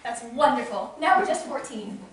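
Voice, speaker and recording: A woman's voice: a little high, very animated, normal speed. A woman acting the part of an imperious, snobbish society hostess and mother in a stage comedy, melodramatic and easily enraged. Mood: sweetly social. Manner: melodramatic, indignant, commanding. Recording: echoey room, distant noisy mic.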